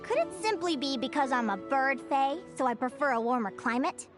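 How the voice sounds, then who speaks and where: A woman's voice: high voice, animated, moderate pace, faint music behind. A woman playing an earnest, bookish young fae naturalist who tells her story in an articulate, thoughtful way, as video game dialogue. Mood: wistful.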